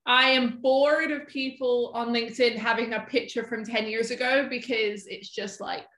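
A woman's voice: fairly high pitch, steady tone, normal speed, fairly bright tone. A woman in her twenties, a photographer, chatting casually and rambling with lots of "like" on a podcast. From half American, half English. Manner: chatty, rambling, heavy use of "like". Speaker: guest photographer. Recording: echoey room.

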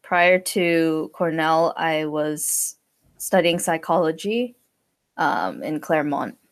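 Low-voiced young woman from Hong Kong. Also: animated, slow pace. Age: 20s. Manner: thoughtful, hesitant with fillers. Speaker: guest. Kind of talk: casual conversation.

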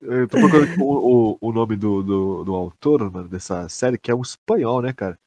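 A man's voice: lowish voice, expressive, fairly quick, a little mellow, no echo. A young man with a Brazilian accent chatting casually as a podcast co-host, slangy and free with swearing. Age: young adult. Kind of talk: casual chat.

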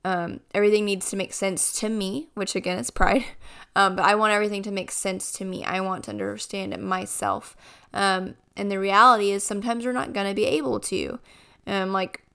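Woman podcaster, raspy from a cold, gravelly but smooth voice, earnest chatty reflection. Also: medium pitch, a little fast, a bit bright. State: raspy voice, getting over a cold. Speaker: podcast host. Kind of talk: casual devotional podcast talk.

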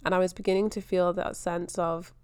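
A chatty young woman from Scotland hosts her own podcast, her accent mostly neutral with a faint Scottish edge. Her low-set voice is smooth and clear, and it rambles loosely as she thinks out loud. The sound is dry and close, with no room around it. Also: little pitch movement, a little fast, no echo. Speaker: podcast host. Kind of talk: casual solo podcast chat.

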